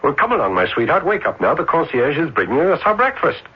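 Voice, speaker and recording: An actor playing an ardent, lovestruck young student in florid, earnest old radio drama style. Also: male voice, on the high side, huge pitch swings, husky, full character voice. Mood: tender coaxing. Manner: earnest, florid, halting declarations. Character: ardent, lovestruck young male student, sentimental and high-strung. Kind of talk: old radio drama acting with first-person narration. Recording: dull, noisy old radio broadcast.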